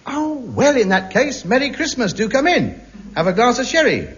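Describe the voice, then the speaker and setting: A man's voice: very high voice, sing-song, normal speed. An actor playing a blustering, self-pitying, penny-pinching man in a 1950s radio sitcom, flustered and stammering. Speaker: lead comic character. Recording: muffled hissy 1950s radio broadcast.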